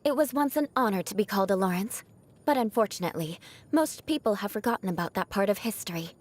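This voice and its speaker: A woman's voice: mid-range voice, lively intonation, fast, natural voice. A young woman voicing a proud, haughty noblewoman knight in a video game, an outcast bent on revenge, with formal, dramatic diction. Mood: proud, defiant. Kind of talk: video game character voice lines.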